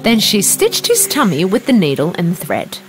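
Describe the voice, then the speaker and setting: A woman narrating a children's fairy tale in an expressive, dramatic storytelling manner. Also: mid-range voice, normal speed, faint music behind.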